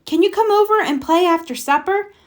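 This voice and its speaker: A woman's voice: very high voice, brisk, pitched above the performer's own voice. A woman voicing a chirpy, friendly young girl bear cub from a storybook.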